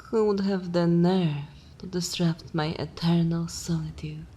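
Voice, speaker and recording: A woman playing an ancient, haughty vampire mistress in a dark fantasy ASMR roleplay, languid and theatrical with disdain, lapsing into whispers. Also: fairly low pitch, steady tone, unhurried, close to an everyday voice. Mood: brooding, languid.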